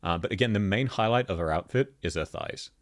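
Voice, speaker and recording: A man with a thick Australian accent, chatting casually as he reviews game outfits and laughs often. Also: a little fast. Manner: chatty, laughs often, lively pitch jumps. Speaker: gaming video host. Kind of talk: casual commentary.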